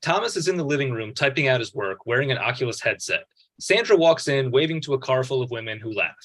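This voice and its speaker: A man's voice: mid-range voice, animated, fast talker, a bit bright. A man giving scripted narration, reading out stage directions at a table read.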